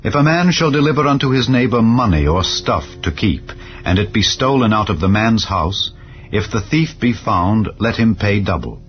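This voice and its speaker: A man narrating scripture in a solemn, measured, declarative manner, as in a dramatized Bible reading. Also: fairly low pitch, lively intonation, muffled recording. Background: clear background music.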